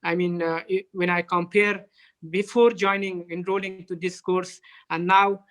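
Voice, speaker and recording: A man's voice: sits high, flat delivery, measured pace, fairly bright tone. Hesitant, courteous man with an Afghan accent. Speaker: interview guest, development professional. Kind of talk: unscripted interview answers.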